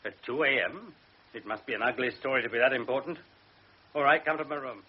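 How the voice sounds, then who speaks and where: An actor playing a blustering army colonel in an old radio drama, barking orders. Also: male voice, medium pitch, brisk, breathy edge, lightly acted. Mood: stern. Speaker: commanding officer. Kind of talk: 1960s radio drama acting. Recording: dull lo-fi radio broadcast.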